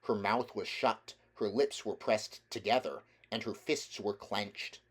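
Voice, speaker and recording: A man reading a children's novel aloud as a narrator, in a measured, deliberate storytelling manner. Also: on the low side, steady tone. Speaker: audiobook reader.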